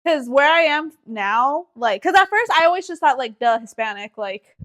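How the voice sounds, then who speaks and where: A woman's voice: high voice, lively intonation, normal speed, a bit bright. A young woman from Texas co-hosting a podcast, chatting in a laid-back way with lots of fillers. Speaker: podcast co-host. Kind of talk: casual chat.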